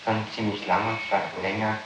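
A man working as a police interrogator, speaking in halting, formal officialese as he questions and reads out statements, on an old 1960s tape. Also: fairly low pitch, little pitch movement, heavy reverb, background noise. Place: reverberant room indoors.

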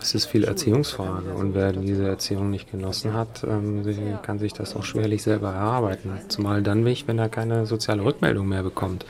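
A man playing a diplomatic, platitude-spouting business negotiator in a radio drama, speaking halting, measured corporate jargon. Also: lowish voice, fairly even intonation, a crowd in the background, natural voice. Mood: moralising, measured. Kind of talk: naturalistic radio drama acting.

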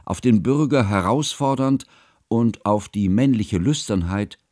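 Low-voiced, earnest scholarly chronicler. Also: male voice, darkish tone, dry recording, studio quality. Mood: grave, regretful. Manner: formal, pedantic, measured diction. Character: earnest, learned male chronicler, mournful and scholarly. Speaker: narrator. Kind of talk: literary radio drama narration.

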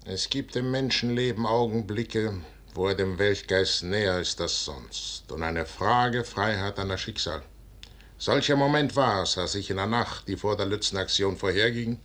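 An actor in a 1950s German radio drama playing a proud, stubborn, visionary general, husky and solemnly declamatory in verse. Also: male voice, low-pitched, a bit bright.

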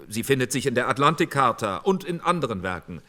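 An actor playing a formal, earnest, conciliatory committee politician of 1948 in a radio docudrama. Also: male voice, mid-range voice, sing-song, quick pace, sharp tone. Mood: firm, conciliatory. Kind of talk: German radio docudrama acting.

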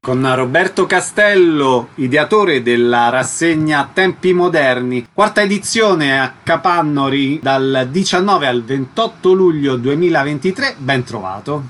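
A man's voice: on the high side, expressive, measured pace, hardly any pauses, a bit bright, soft background music. A man hosting a radio interview with prepared questions and announcements.

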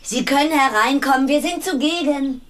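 An actress playing a brisk, suspicious woman of the house in a radio play, questioning insistently. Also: high voice, a little hiss or hum, close to an everyday voice.

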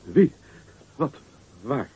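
An actor playing a sleepy, modest, simple-minded young man in a radio play, drowsy and stammering with a husky voice. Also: extremely slow, narrow, tinny audio, a little hiss or hum. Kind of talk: radio drama acting.

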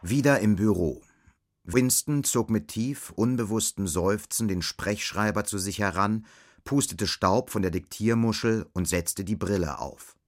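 A man narrating a literary radio drama from a script. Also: deep voice.

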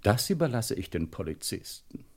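An actor playing a haughty, pedantic middle-aged English butler in a German radio crime comedy, speaking formally and with dry sarcasm. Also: male voice, on the low side, sing-song, normal speed. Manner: pompous, formal, dryly sarcastic. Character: haughty, pedantic English butler, easily frightened, about fifty.

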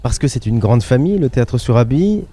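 A man hosting a travel show who prompts his guest with questions in a relaxed conversational interview, recorded outdoors in a public garden. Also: medium pitch, brisk.

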